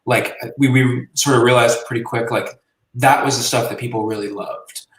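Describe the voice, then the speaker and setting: A man's voice: medium pitch, fairly even intonation, normal speed. Guy in his late 20s chatting in an interview, lots of um and like, kinda far from the mic in an echoey room. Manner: rambling, self-deprecating, many fillers. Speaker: guest, musician and journalist. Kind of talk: casual interview chat. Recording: roomy and from the mic.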